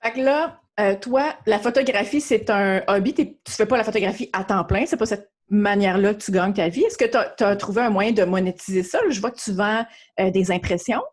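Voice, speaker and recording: A woman's voice: mid-range voice, fairly quick. A woman with a Québécois accent hosting a podcast, asking friendly, curious questions. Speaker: podcast host. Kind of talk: interview conversation.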